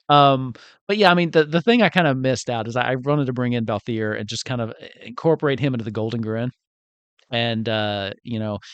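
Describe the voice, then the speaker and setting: A man's voice: mid-range voice, fairly bright tone, clean tone, dry recording. A man chatting casually as the game master of a tabletop campaign, joking and acting out quotes. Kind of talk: casual group chat about a game.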